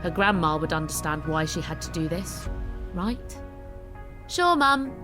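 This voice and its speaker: A woman's voice: deep voice, steady tone, normal speed. A woman giving scripted, dramatic story narration as an audiobook narrator. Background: music.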